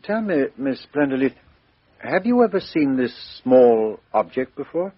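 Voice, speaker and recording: A man playing a brisk, businesslike police inspector in a 1950s radio drama, husky voice. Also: mid-range voice, very animated, dry recording. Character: brisk middle-aged male police inspector. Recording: dull old radio broadcast.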